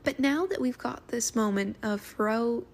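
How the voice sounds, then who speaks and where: Woman leaving a voice message for a podcast, bit high, creaky, thinking out loud. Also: a little slow. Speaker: listener sending a voice message. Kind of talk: reflective fan commentary.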